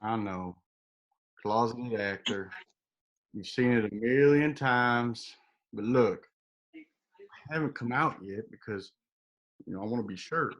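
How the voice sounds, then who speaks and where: Husky man acting a cocky closeted young actor. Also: medium pitch, slow pace, long pauses, a bit bright, lo-fi sound. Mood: guarded, confiding. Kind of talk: table read of a comedy web series.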